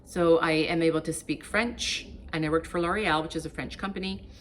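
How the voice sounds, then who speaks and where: A woman's voice: sits low, fairly even intonation, fairly quick, a little hiss or hum. A woman in her fifties whose first language is Portuguese, chatting casually to camera and encouraging her viewers. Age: 50s. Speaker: vlogger.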